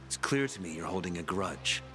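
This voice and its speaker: An actor playing a shrewd, pompous leader of a survivor community in a video game, speaking in a deliberate, preacherly way. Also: male voice, low-pitched, lively intonation. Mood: smooth, measured. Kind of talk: dramatic video game acting. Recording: background music under the voice.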